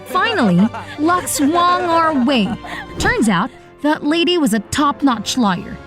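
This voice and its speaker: A woman performing an earnest young heroine of an animated story, telling it in a high, swooping voice. Her delivery is expressive and dramatic, with music playing behind her. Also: unhurried. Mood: earnest storytelling. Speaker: narrator and lead character. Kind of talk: animated first-person story narration with acted dialogue. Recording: voice over background music.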